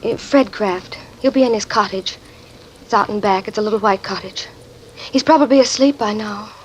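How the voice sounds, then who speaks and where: A woman's voice: mid-range voice, normal speed, natural voice. An actress playing a polite, gracious young lady of an estate in an old-time radio drama. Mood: helpful. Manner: polite, genteel. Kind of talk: 1950s American radio drama acting. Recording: hissy, noisy old radio recording.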